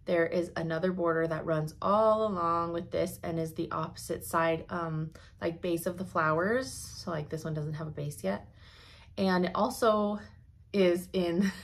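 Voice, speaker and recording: A young woman giving a chatty cross-stitch update from her home craft room, her voice slightly hoarse, laughing often as she thinks aloud. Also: fairly low pitch, measured pace. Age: young adult. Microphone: camera in front at desk.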